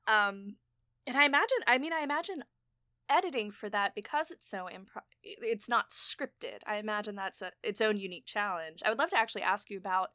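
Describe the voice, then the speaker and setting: A woman hosting a casual interview, hard of hearing herself, talking in an animated, expressive way with frequent laughter. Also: on the high side, no room sound, clean studio sound. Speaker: podcast host and audio drama creator.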